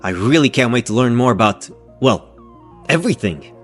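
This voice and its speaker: Guy playing polite keen physicist in an audio drama, formal but really animated, bit of music under. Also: medium pitch, normal speed, natural voice. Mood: polite, eager. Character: eager, courteous male physics scientist newly arrived. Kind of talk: scripted audio drama dialogue.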